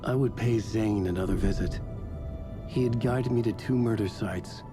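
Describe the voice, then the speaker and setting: An actor playing a haunted middle-aged novelist, narrating a horror game in grave, terse, brooding sentences. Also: male voice, lowish voice, moderate pace, over music, lightly acted. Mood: grim, urgent. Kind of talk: scripted first-person narration in a horror video game.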